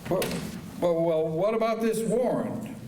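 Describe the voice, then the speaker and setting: A man's voice: high voice, unhurried, warm tone. A man playing a dry, skeptical Old West marshal in a radio drama, wry and blunt. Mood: flustered. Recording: echoey auditorium, distant mic, faint noise.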